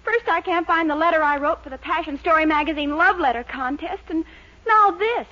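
An actress playing a lively, exasperated young woman, in an expressive 1940s radio sitcom style. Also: very high-pitched, brisk. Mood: fretful. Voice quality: bright, expressive comedy delivery. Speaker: Dennis's girlfriend Mildred. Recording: dull old radio broadcast.

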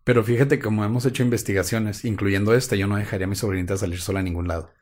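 A man's voice: lowish voice, steady tone, racing, darkish tone. A man co-hosting a live stream, cracking wry jokes and narrating a true-crime case. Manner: wry, jokey asides, slightly dramatic in the telling. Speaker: podcast co-host.

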